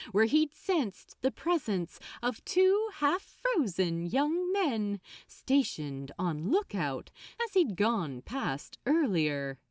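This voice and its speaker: A woman narrating fiction like an audiobook, with an expressive, deliberate and suspenseful delivery. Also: medium pitch, no room sound, studio quality. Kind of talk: dramatic scripted audiobook narration.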